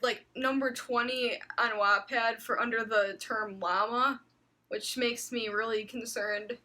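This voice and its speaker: A young woman with a fairly high, bright, forceful voice reads silly fanfiction aloud. She is animated and mock-serious, slipping between dramatic narration and casual chat. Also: a little slow. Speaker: co-host reading fanfiction aloud. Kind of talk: dramatic comic story reading with casual banter.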